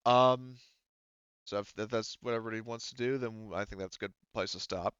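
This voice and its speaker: Slightly dull, lo-fi, close sound carries a man's low voice. He is a game master at a tabletop session, explaining in an informal, halting way with a smooth tone. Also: fairly even intonation, fairly bright tone, dry recording. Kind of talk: casual tabletop game narration and chat.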